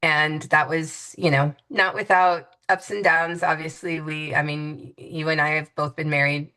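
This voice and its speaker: Low-voiced woman from Hawaii, hesitant. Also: steady tone, measured pace, bright, forceful tone. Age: 50s. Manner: hesitant, many fillers and restarts. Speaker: interview guest. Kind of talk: reflective conversational interview.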